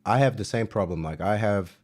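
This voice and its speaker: A man with a German accent talks through his livestream in a loose, rambling way. His voice sits fairly low, and he often pauses on fillers and pokes fun at himself. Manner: relaxed, self-deprecating, rambling with fillers. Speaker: livestream musician host. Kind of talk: casual livestream chat.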